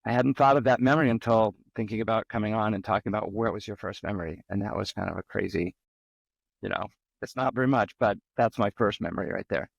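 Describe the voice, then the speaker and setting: A man's voice: lowish voice, quick pace, gravelly, no room sound, lo-fi sound. A middle-aged man from the Boston area, a podcast guest telling personal stories in a casual, rambling way with many ums. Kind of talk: casual interview storytelling.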